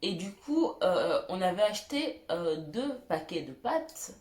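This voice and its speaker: A young woman telling funny childhood stories to her viewers, self-mocking, giggling and pausing on fillers. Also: on the low side, very animated, a little mellow, echoey room. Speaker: YouTuber. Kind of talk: storytelling vlog.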